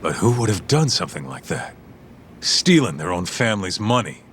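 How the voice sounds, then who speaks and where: An actor playing a brash, blunt brawler with a swaggering manner in a video game dub. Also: male voice, low voice, very animated, normal speed, slight background noise. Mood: puzzled. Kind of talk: English video game dub, dramatic acting.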